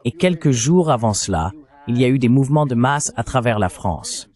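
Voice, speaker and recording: A man's synthetic dubbing voice reading political commentary in a measured, articulate way. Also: mid-range voice, animated, a little mellow. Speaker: voice-over translator for a panelist.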